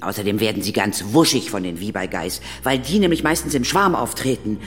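A woman's voice: very deep voice, animated, fairly quick. An actress playing a seasoned, quirky female ghost hunter, instructive and drily humorous, in a German children's audio drama. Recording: reverberant hall, music bed.

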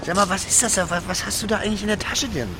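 An actor playing a burnt-out, foul-mouthed young punk rock singer in a radio play, agitated and stammering. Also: male voice, high-pitched, racing, music in the background, close to an everyday voice. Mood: irritated. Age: young adult. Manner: agitated, ranting, stammering, crude. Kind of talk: naturalistic radio play dialogue.

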